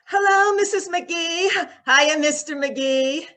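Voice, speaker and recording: A woman's voice: high-pitched, slow pace, sharp tone, voice pushed up, heavily acted. A woman voicing a chirpy, girlish young acquaintance in old-time radio comedy style. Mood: chirpy, eager.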